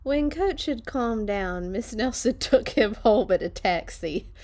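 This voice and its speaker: Unhurried woman reading a picture book aloud. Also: fairly high pitch, lively intonation, a little mellow. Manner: dramatic, deliberate phrasing with playful asides. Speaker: read-along storyteller for children. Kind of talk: expressive picture-book read-aloud with chatty asides.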